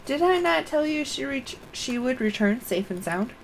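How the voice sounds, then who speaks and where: An earnest young male scholar from a fantasy game speaks in a formal, composed way, read aloud by a woman in a near-everyday voice. The delivery stays plain rather than acted. A touch of gravel sits in the low notes. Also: lively intonation, moderate pace, a little hiss or hum, poor audio. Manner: reads dialogue aloud in a plain voice.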